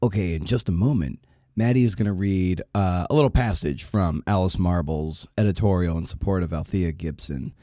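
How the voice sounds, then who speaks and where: Mellow male podcast host, dry close sound. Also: sits low, moderate pace, dry recording. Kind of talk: casual interview talk.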